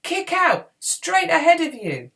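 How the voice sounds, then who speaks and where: Woman as shouting young boy rabbit, high-pitched. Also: huge pitch swings, slow, vocal fry, full character voice. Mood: urgent, commanding. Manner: urgent, shouted exclamations.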